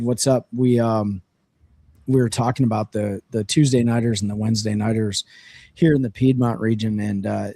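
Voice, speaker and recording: A man with a hoarse, worn-out voice chats casually on a fishing livestream, energetic and rambling. His pitch sits in a plain middle range. The sound is close and dry, with no room echo. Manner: energetic, rambling, filler-heavy. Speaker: fishing show co-host. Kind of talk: casual livestream chat with sponsor reads. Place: region of central North Carolina.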